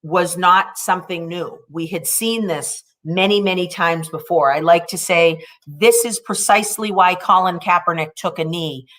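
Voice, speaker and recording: Woman in her 40s hosting a panel on a video call, gushing and encouraging, lowish voice. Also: normal speed, few pauses, fairly bright tone. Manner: effusive and encouraging. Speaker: panel moderator. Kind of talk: introducing and moderating a panel.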